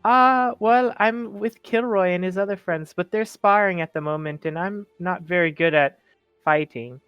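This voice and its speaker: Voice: medium pitch, moderate pace, smooth, no room sound, muffled recording, soft background music, natural voice. A player voicing a polite, hesitant orphaned teenage boy in a tabletop game, stammering a little. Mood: nervous, polite.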